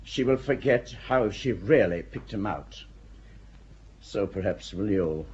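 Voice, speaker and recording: Stern, wily archbishop with a lively, rising and falling voice, dull recording, played by a man. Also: on the low side. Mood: measured. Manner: measured, authoritative. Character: wily middle-aged archbishop, worldly and stern. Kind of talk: audio drama acting. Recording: dull audio with faint hiss.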